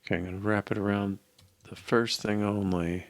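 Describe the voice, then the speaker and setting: Older man, low-pitched creaky voice, unhurried, hesitant think-aloud coding commentary, muttering. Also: level tone, no room sound. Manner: hesitant, muttering to himself, musing. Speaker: programmer live-coding a mobile app. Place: small indoor room at a desk.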